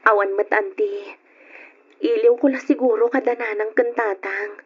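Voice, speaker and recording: Sad hesitant young girl in old ilocano radio play, high subdued voice, lo-fi sound. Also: steady tone, measured pace, husky. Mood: troubled, hesitant. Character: troubled, hesitant young woman hiding her feelings. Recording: dull lo-fi old radio recording.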